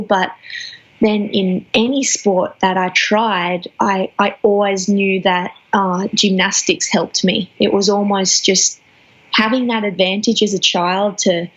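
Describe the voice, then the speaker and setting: Young woman, slightly dull recording. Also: medium pitch. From Australia. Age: 20s. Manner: thoughtful, hesitant, restarts phrases. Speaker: guest athlete. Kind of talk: interview answers.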